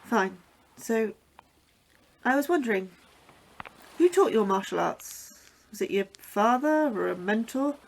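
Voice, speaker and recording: An actress playing an anxious, inquisitive young scientist who keeps pestering a coworker with questions. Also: female voice, fairly high pitch, expressive, a little slow, creaky, long pauses, a little hiss or hum, rough recording.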